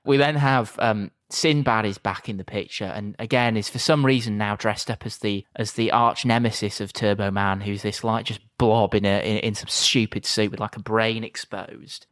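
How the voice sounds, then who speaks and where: A man's voice: lowish voice, fairly even intonation, normal speed, hardly any pauses, fairly bright tone, dry recording. A man co-hosting a film podcast, recapping scenes in a dry, rambling way with lots of restarts. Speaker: podcast co-host. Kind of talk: casual film review chat.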